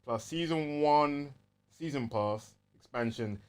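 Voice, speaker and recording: A man's voice: a little high, slow pace, clean tone. A man with a London accent chatting casually and easily as a gaming podcast co-host, using plenty of slang.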